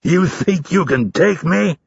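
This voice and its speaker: A man's voice: medium pitch, animated, fairly quick, gravelly, a bit bright, husky, dry recording, lo-fi sound, pitched above the performer's own voice, heavily acted. A man playing a sour, foul-mouthed instructor in an audiobook, snapping and barking his taunts. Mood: challenging. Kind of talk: audiobook character voice.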